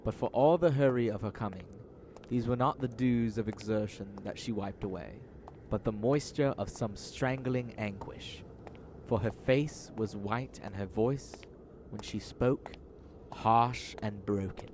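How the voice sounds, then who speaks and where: Lowish-voiced male radio drama narrator. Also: measured pace, noisy background. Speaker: announcer and narrator. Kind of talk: scripted audio drama narration.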